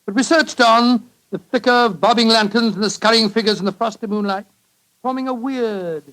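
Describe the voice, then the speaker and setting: Casting: kindly English doctor sidekick, middle-aged, high-pitched, fussy and avuncular, vintage radio drama. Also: male voice, normal speed, sharp tone, low-quality recording. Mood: grave narrating. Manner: bumbling, avuncular, repeats himself. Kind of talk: 1940s radio drama acting.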